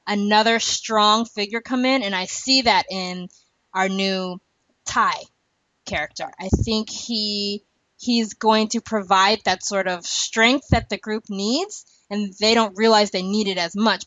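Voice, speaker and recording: A woman's voice: medium pitch, a little slow, fairly bright tone, no room sound. A woman hosting a podcast in a casual, rambling chat, laughing often. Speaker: podcast host. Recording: dull lo-fi dry recording.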